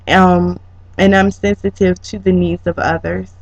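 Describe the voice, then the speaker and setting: A student presenter giving a prepared, reflective slide presentation, speaking haltingly with drawn-out pauses in mid-sentence. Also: medium pitch, level tone, gravelly. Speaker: student presenter, educator training as a counselor. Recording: dull lo-fi sound with room echo.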